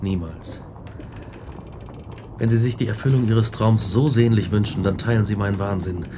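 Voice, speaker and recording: An actor playing a despairing, guilt-ridden, exhausted man who hunts a monster, speaking gravely and wearily in a radio drama. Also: fairly low pitch, normal speed, noisy background, close to an everyday voice. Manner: grave, weary, anguished.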